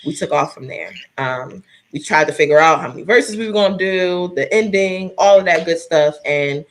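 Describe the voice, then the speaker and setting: A soft-voiced woman singer from New Jersey, chatting casually on a live video stream, laughing often and talking straight to her viewers. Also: fairly low pitch, moderate pace.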